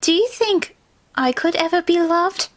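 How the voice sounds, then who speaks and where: A woman's voice: very high voice, expressive, a little slow. A woman voicing a haughty yet insecure young female pony in a cartoon fan fiction, whiny and theatrical. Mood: wistful.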